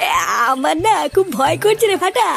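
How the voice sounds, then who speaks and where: A woman's voice: extremely high pitch, animated, moderate pace, creaky, faint music behind, exaggerated voice. A woman voicing a timid male thief's sidekick in a comic cartoon, hesitant, fretful and pleading. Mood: fearful.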